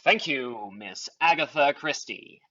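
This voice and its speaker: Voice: medium pitch, expressive, unhurried, pushed and bright, lo-fi sound. An announcer introducing an audio play in a dramatic old-time radio style.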